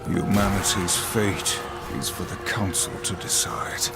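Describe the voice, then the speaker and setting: Very gravelly, grim warrior horseman. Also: sits low, measured pace, over loud music. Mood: grim resolve. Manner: grave, terse. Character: stern, dutiful, grim male horseman warrior. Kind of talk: video game cutscene acting.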